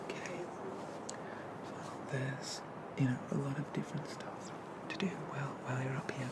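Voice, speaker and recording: A performer playing a friendly, bashful monster barista in a soft, hesitant, gently chatty whispered ASMR roleplay. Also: mid-range voice, fairly even intonation, normal speed, very noisy, lightly acted. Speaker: coffee shop barista.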